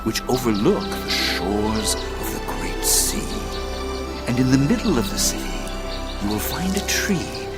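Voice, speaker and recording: An actor playing a wise old sage and former schoolmaster, narrating an audio drama in a stately, deliberate, theatrical way over music. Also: male voice, medium pitch. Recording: loud music bed under the voice.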